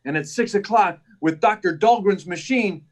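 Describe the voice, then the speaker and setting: A man's voice: high-pitched, moderate pace. A man playing an earnest, determined hero reporter in an old-time radio drama, emphatic and urgent. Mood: urgent, resolute. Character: earnest, determined male reporter, secretly a superhero.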